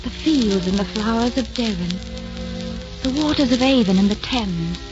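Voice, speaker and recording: A woman's voice: fairly high pitch, expressive, normal speed, natural voice. An actress playing a devoted, self-sacrificing lady lover in an old-time radio romance, speaking in a solemn, declamatory way. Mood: noble, impassioned. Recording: muffled old radio broadcast, music behind.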